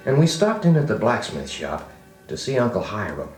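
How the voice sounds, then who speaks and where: An actor playing a grown man recalling his farm boyhood, narrating a children's record drama in an unhurried, expressive way. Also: fairly low pitch. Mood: reflective storytelling. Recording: vintage 45 rpm record, music behind, roomy.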